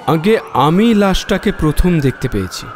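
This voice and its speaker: An actor playing a polite, sharp, self-assured amateur detective in an audio drama, speaking in a measured, quietly probing way. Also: male voice, fairly high pitch, expressive, a little hiss or hum, natural voice. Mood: polite, modest.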